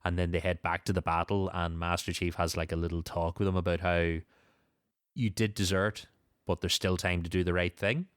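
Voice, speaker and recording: A man's voice: deep voice, little pitch movement, normal speed, clear, resonant tone, no room sound, clean studio sound. A man hosting a podcast, casually recapping and discussing a story with a co-host.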